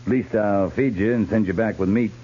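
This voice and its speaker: An actor playing a fair-minded American cavalry captain, speaking in a measured, plain and firm way in a 1950s radio western. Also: male voice, lowish voice, warm tone, lightly acted. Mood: sober. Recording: muffled 1950s radio recording with hum.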